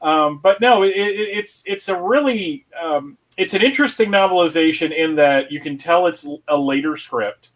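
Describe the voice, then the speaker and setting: A man's voice: on the high side, normal speed, hardly any pauses. A man explaining casually as a panelist, searching for words in a stop-start way. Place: remote online panel recorded at home.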